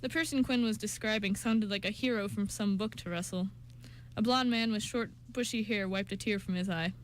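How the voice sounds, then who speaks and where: A woman's voice: mid-range voice, a little fast, slight background noise, poor audio. A woman reading scripted story narration for an amateur radio show, with an occasional stumble.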